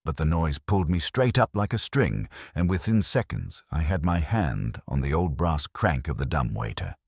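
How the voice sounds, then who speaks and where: Very deep-voiced man narrating a detective story. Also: sing-song, normal speed, breathy edge, dry recording, clean studio sound. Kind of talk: scripted first-person detective story narration.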